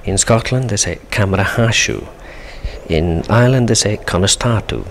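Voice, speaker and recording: A man's voice: on the low side, moderate pace. A man from the Isle of Man, a guest on a 1970s radio interview, explaining steadily and patiently. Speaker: Manx language expert guest. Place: radio studio. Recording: faint hiss on 1970s radio tape.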